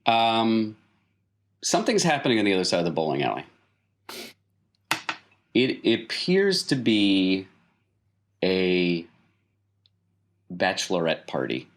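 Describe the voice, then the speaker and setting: An American man from small-town Maine improvising tabletop game narration as game master, building each scene in halting steps. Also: sits low, huge pitch swings.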